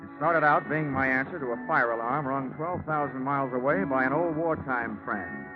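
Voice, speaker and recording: A man's voice: mid-range voice, moderate pace, music in the background, close to an everyday voice. Wry American gumshoe played by a man for vintage radio detective serial; cocky, slangy, clipped wisecracks; narrow, tinny old broadcast sound. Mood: wry storytelling. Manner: wisecracking, dry, clipped delivery. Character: wry, hard-boiled freelance insurance investigator, cocky and slangy. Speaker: lead actor and first-person narrator. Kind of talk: 1940s radio detective drama narration and dialogue. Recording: tinny, band-limited 1949 radio recording.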